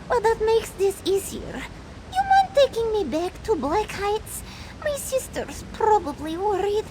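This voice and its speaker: Tiny sassy cat-woman party girl for a game: very high, slow drawl, lively swoops, noisy backdrop. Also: heavily acted. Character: small sassy party-loving cat woman, indignant and flippant. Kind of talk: AI-voiced video game quest dialogue.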